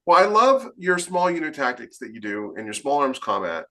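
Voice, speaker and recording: A man's voice: mid-range voice, sing-song, moderate pace, pushed and bright. A man co-hosting a podcast interview in casual, emphatic talk, often acting out imagined quotes to make his point. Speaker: podcast co-host.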